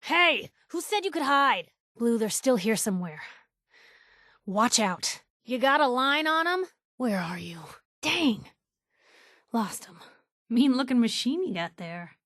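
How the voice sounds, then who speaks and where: A woman's voice: a little high, very animated, slow, long pauses, a bit bright, no room sound, studio quality, full character voice. An actress playing a plucky, wisecracking young woman reporter in a post-apocalyptic video game, with a snappy, colloquial, teasing way of talking. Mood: wary, concerned, then wry, cautioning. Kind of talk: video game companion voice acting.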